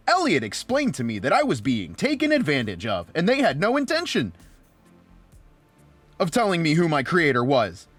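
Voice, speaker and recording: A man's voice: sits high, a bit bright, no room sound, exaggerated voice. A man voicing a cheerful, naive, friendly robot who narrates an audiobook in an earnest, guileless way.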